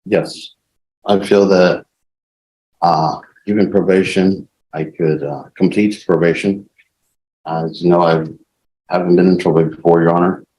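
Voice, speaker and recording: Deep, flat-voiced man pleading in court. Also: unhurried, pauses often, rough recording. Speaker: defendant addressing the court. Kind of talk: plea to a judge.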